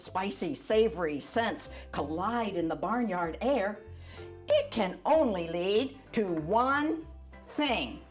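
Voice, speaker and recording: An older woman reads a children's storybook aloud in an animated, chatty way, adding asides for young listeners. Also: on the low side. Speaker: volunteer storyteller.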